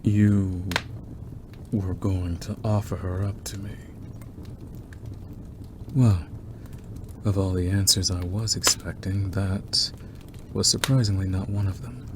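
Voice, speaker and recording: Smitten yandere werewolf male actor, ASMR roleplay: very deep, unhurried, quietly threatening and teasing, over noticeable background ambience. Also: steady tone. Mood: intrigued. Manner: slow, deliberate, softly menacing and teasing. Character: obsessive predatory male werewolf, wolfish, smitten stranger. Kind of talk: ASMR roleplay monologue to the listener. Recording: clearly noisy background ambience.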